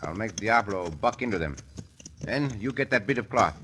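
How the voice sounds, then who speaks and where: An actor playing a courteous, commanding Mexican cowboy adventurer in an old-time radio western, with a Mexican accent. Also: male voice, on the low side, lively intonation, moderate pace, close to an everyday voice. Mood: brisk, confiding. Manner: polite, commanding, sprinkles in Spanish. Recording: noisy vintage radio recording.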